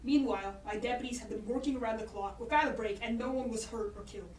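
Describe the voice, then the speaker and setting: A woman's voice: a little high, steady tone, quick pace, darkish tone, natural voice. A woman playing a blunt, hard-pressed, weary sheriff in an audio drama. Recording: echoey, distant mic with hiss.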